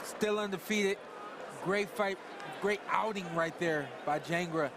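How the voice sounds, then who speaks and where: Male color analyst, high voice, relaxed conversational breakdown, loud crowd and music. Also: a little slow, background noise. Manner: casual, conversational analysis with fillers. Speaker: color commentator. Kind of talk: fight analysis commentary.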